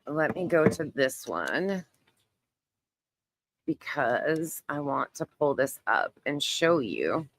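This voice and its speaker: A woman's voice: sits low, sing-song, takes it slowly, a little hiss or hum. A woman hosting a casual live online commentary, emphatic and repetitive in phrasing, talking through what she does as she browses. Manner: narrates own actions while browsing. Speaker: YouTube creator, host.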